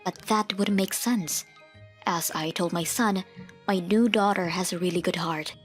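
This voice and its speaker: A principled mother in a manga dub, played by a woman, measured and matter-of-fact. Her voice is a little low and firm, with music running beneath it. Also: natural voice. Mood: resolved, reflective. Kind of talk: manga dub dialogue and first-person narration. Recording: music behind the voice.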